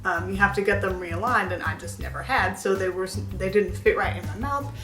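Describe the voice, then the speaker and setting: A woman's voice: medium pitch, fairly quick, roomy sound, music in the background. A woman in her twenties chatting candidly to camera as a vlogger, rambling with lots of filler words. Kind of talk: casual chat to camera.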